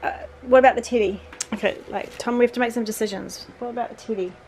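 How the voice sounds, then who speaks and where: Chatty mum narrating a declutter. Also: female voice, a little high, fairly even intonation, fast talker, darkish tone, slight background noise. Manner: chatty, fillers, talks to her sons while sorting. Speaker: vlogger, mother. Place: bedroom, indoors.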